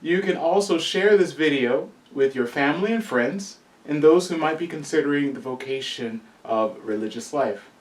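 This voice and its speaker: A man hosts a small talk show. He chimes in with brief affirmations and wraps up with a friendly sign-off. His voice sits back from the microphone in a room with a clear echo. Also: on the high side, normal speed, hardly any pauses. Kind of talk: casual interview hosting. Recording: roomy, distant from the mic.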